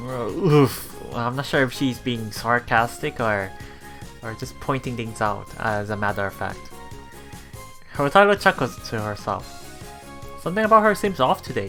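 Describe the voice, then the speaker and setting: A man with a bright, forward voice at a middle pitch hosts a visual novel let's play. He reads the text aloud and adds hesitant, musing commentary, with music playing behind him. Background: game music.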